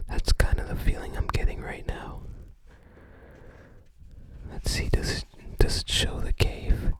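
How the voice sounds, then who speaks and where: An ASMR host speaking entirely in a breathy whisper, gently musing aloud in unscripted commentary with trailing phrases. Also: measured pace, dry recording. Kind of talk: ASMR, unscripted commentary while browsing a game guide book.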